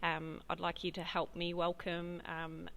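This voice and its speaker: A woman's voice: fairly low pitch, completely flat delivery, measured pace, vocal fry, fairly bright tone. A woman hosting a live community interview show, asking encouraging questions with many fillers. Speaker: host. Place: regional town in Australia.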